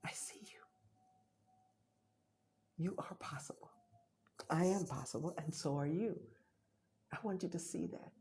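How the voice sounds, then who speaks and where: A middle-aged Jamaican woman speaks to her live audience with a low, warm, husky voice. She presses her point over and over, sometimes sinking into an intense whisper. Her delivery is an urgent motivational pep talk. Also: unhurried, long pauses. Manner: urgent, repetitive, drops to whispers for emphasis.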